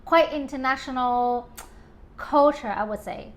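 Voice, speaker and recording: Chatty woman from Hong Kong, high voice. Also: measured pace. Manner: hesitant, many fillers, laughs often. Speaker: interior designer guest. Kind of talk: casual interview chat.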